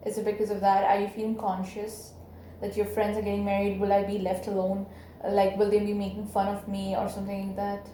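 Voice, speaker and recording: A woman's voice: medium pitch, monotone, brisk, dark tone, a little hiss or hum. A woman acting as a counselor, asking tentative, probing questions. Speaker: counselor in a role-play. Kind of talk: counseling session. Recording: echoey room recording.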